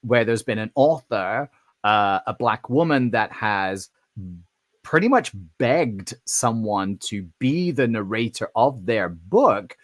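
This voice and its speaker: A man's voice: on the low side, slow pace, dry recording. A man co-hosting a podcast in casual chat, telling stories animatedly, with frequent restarts. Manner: animated storyteller, acts out quoted voices. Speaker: podcast co-host.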